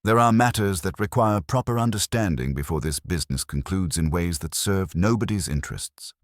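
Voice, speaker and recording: A man's voice: low voice, animated, moderate pace, no room sound, studio quality, pitched above the performer's own voice. A man voicing a prim, observant woman office cleaner in a radio mystery, speaking precisely and formally. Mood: firm, insistent.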